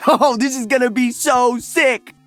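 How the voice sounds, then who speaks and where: A man's voice: very high voice, a little slow, fairly bright tone, faint music behind. An actor voicing an upbeat, cocky young male DJ hero in a video game, breezy, exuberant and slangy. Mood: excited. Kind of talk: video game character voice lines.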